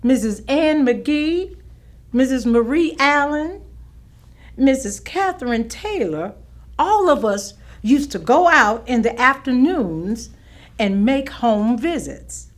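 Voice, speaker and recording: An actress playing a proud, firm veteran schoolteacher looking back in a staged reading. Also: female voice, sits high, lively intonation, slow. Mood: proud, reminiscing. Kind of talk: staged monologue of oral history recollections.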